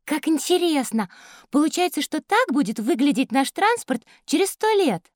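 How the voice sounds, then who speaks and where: Little girl, high pitch, very animated, polite, inquisitive, dry studio sound, children's audio drama. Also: moderate pace, no room sound. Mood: wondering. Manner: polite, inquisitive, bright-eyed wonder. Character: curious polite little girl pupil, Masha. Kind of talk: children's educational audio play.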